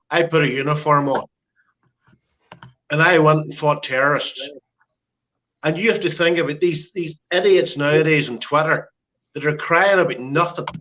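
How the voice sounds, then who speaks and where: A man's voice: a little high, a bit bright, highs cut off. A man in his forties with a Northern Irish accent, a former soldier talking bluntly and forthrightly in a casual panel chat. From Northern Ireland. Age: 40s. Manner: blunt and forthright, gruff opinions.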